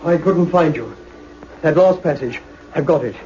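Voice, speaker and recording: Anxious, earnest researcher for radio sci-fi male actor, highish voice, dull recording. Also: expressive, moderate pace, mellow, a little hiss or hum, lightly acted. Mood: urgent, excited. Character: earnest, anxious male colleague bringing vital findings. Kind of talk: radio play acting.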